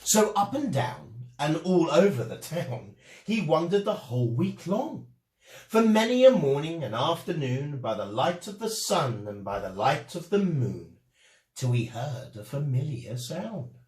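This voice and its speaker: A man telling a rhyming children's story as an expressive storyteller. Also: a little high, a little slow. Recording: echoey room.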